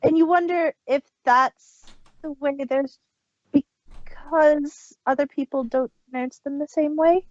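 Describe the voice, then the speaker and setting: A woman with a slightly high voice talks casually as a podcast co-host. The sound is close and dry, with a dull, lo-fi edge. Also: fairly even intonation, takes it slowly, dry recording. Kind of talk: casual chat.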